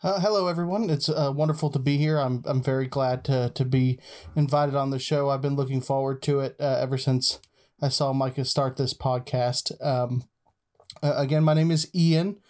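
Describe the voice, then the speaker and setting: A young man from Texas joins a podcast as a guest. He talks in an earnest, halting way, full of "uh"s and restarts. His voice stays mid-pitched and very even, with little rise and fall. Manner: hesitant, many "uh"s and restarts, earnest. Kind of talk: casual discussion.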